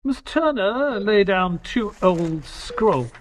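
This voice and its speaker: A man acts an earnest gentleman companion in a detective audio play. His voice sits fairly high and moves with lively inflection. He is polite and educated, painting scenes like a storyteller. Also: takes it slowly. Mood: narrating. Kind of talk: audio drama narration and dialogue.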